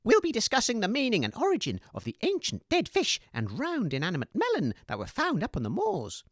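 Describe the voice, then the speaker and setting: A woman's voice: medium pitch, huge pitch swings, a little fast, dry recording, studio quality. A woman playing a showy, eager debate host, announcing theatrically in a comedy audio show. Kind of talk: comedy audio sketch hosting.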